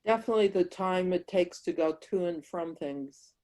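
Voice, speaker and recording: A woman's voice: fairly low pitch, normal speed, a little mellow. A woman chatting casually as a participant in an online reading discussion. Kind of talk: casual chat.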